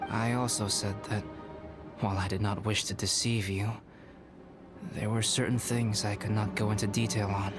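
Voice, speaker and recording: Man voicing a formal, measured young alchemist. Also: on the low side, level tone, close to an everyday voice. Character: calm young male alchemist, composed scholar. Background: game music.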